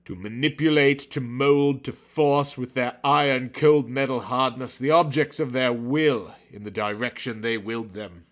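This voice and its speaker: A man narrating a story in a theatrical, brooding, overwrought style, as if every line were high drama. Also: steady tone, unhurried, few pauses, muffled recording.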